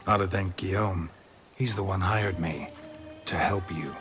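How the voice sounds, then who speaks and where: A man playing a stoic, weary monster hunter in a video game, gravelly and terse, with a deadpan, clipped delivery. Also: sits low, unhurried. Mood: calm, dry. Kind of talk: video game cutscene acting. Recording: background music under the voice.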